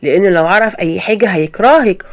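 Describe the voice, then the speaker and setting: A woman's voice: medium pitch, quick pace, lightly acted. A woman voicing a cautious Egyptian friend. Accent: Egyptian Arabic. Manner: warning, cautious.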